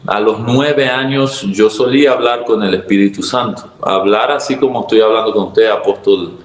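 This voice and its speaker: Earnest Venezuelan pastor in echoey room. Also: male voice, on the low side, normal speed. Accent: Venezuelan Spanish. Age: 30s. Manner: earnest, reflective, preacherly. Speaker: pastor, guest. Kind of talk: testimony interview.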